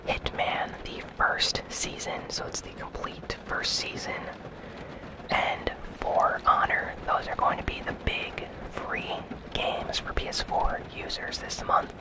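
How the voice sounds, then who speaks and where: Whispered ASMR gaming news host, barely voiced. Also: low-quality recording. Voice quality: soft, breathy whisper.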